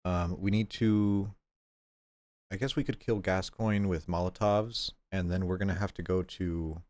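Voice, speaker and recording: A stuffed-up man short of breath, rambling casually as he streams a game and clears his throat often. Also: low voice, steady tone, a little slow, darkish tone, dry recording. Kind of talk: casual gameplay chat.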